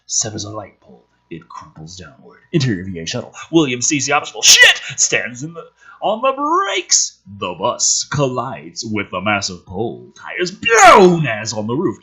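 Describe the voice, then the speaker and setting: A man gives a theatrical script reading with a bright, punchy, slightly rough voice. His pitch swings widely as he leans into the action. Between lines he slips into casual, sardonic commentary with some swearing. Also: low-quality recording. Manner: animated, emphatic, theatrical.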